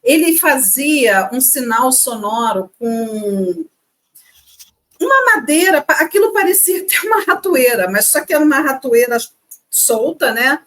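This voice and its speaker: A middle-aged woman from Brazil co-hosting a casual chat, telling childhood stories in an animated way and laughing easily. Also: sits high, unhurried. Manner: lively storyteller, laughs easily. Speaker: co-host.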